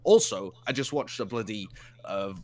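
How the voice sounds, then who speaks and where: A young man co-hosting an esports chat, laying out measured, reasoned analysis with some dry humor. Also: lowish voice, lively intonation, a little hiss or hum. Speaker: co-host analyst.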